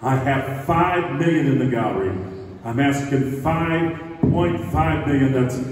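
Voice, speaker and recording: A man working as an auctioneer, calling out bids in a drawn-out, chanting way, recorded from the audience. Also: mid-range voice, slight background noise. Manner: drawn-out, chanting bid calls. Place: large hall with stage. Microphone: podium mic, filmed from the audience. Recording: echoey hall from far off.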